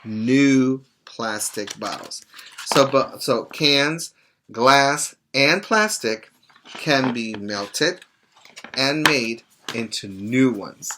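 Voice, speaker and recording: A middle-aged man reads aloud to young children as their teacher. His voice is bright and forceful, and his pace is slow. He is deliberate and instructive, speaking as if to make each point clear to a small child. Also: medium pitch, lively intonation, slight background noise. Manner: deliberate, didactic, stopping to explain and check understanding. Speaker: preschool teacher. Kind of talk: read-aloud to young children with plain explanations. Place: small indoor room at home.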